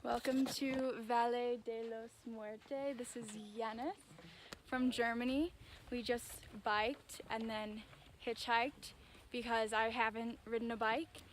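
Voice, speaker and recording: A young woman chatting excitedly to her handheld camera while travelling outdoors. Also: a little high. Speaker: travel vlogger. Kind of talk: casual vlog talk to camera. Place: outdoors in a city and desert. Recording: handheld vlog with music and crowd noise.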